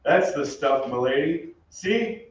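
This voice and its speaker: A man's voice: a little high, lively intonation, slow. A man playing a brash, good-natured American soldier with an American accent, slangy and breezy, in an old-time radio drama. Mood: reassuring. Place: on stage in a theatre. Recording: distant, echoey, muffled stage, faint noise.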